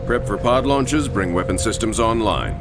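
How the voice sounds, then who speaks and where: A man playing a steady, authoritative middle-aged starship captain in a video game, speaking in a measured, commanding way. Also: lowish voice. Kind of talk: video game cinematic acting. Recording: music behind the dialogue.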